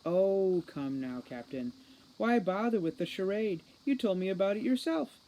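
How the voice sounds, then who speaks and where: A man as a smug, high-voiced boy mastermind. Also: expressive, moderate pace, warm tone, low-quality recording. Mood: condescending calm. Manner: sardonic, condescending, formal. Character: smug, cold boy criminal mastermind, precociously clever. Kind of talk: audiobook character reading.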